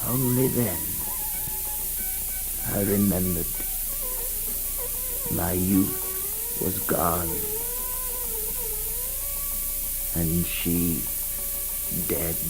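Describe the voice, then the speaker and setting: Old romantic king, slow lyrical declamation with wide swoops, poetic radio play. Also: fairly low pitch, vocal fry, exaggerated voice, rough recording. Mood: grieving, hushed. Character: ardent old king recounting a dream of lost love. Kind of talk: 1960s poetic radio drama acting.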